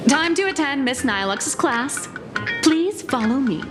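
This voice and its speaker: Game role: sly, glib young woman artist, fairly high pitch over clear music, mock-formal. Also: very animated, measured pace, in a large hall. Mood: lecturing. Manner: glib, playful, mock-formal, stammers when cornered. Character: sly, evasive, boastful young female comic artist. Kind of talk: animated game voice acting.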